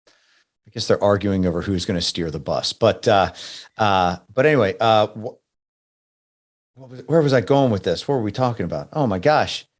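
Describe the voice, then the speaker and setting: A man's voice: lowish voice, normal speed. Rambling, easygoing male podcast host. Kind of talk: casual conversational interview.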